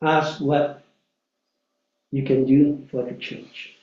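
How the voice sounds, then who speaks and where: Man in his 60s, lay preacher, high mellow voice, chatty anecdotal sermon, echoey hall off a distant mic. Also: flat delivery, slow, highs cut off. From Malaysia. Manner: chatty, anecdotal, frequent "uh" and "right?" asides. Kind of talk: storytelling sermon. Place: church hall.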